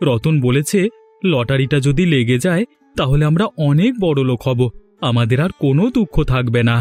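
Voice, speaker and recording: A man playing a naive, hopeful son in an animated village story, speaking simply and earnestly. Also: mid-range voice, moderate pace, faint music behind, close to an everyday voice. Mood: apologetic, earnest. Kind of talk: animated story dialogue acting.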